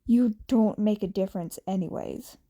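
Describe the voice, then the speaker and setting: An intense teenage rival voiced by a woman from an audio story. He goads in a mellow voice with a creaky edge, sounding like a scornful trainee. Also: mid-range voice, moderate pace, natural voice. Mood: taunting. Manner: goading. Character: taunting, intense teenage boy hero trainee.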